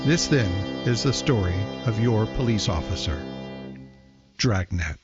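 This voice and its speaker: A man announcing a radio crime drama in a solemn, measured, formal style. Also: on the low side, expressive. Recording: lo-fi sound over loud brass music.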